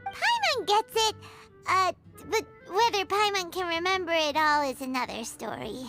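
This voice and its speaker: A woman voicing a tiny, childlike fairy companion in a video game, naive and excitable, with a squeaky voice. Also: measured pace, exaggerated voice. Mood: unsure. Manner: bubbly, refers to herself in third person. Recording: loud playful music behind.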